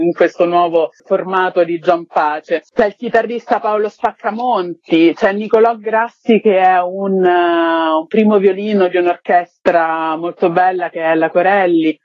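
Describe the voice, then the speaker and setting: A woman's voice: on the low side, steady tone, moderate pace, hardly any pauses, dry recording. A woman being interviewed on the radio as a festival organiser, talking in an enthusiastic, expansive, informal way. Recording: dull, lo-fi audio.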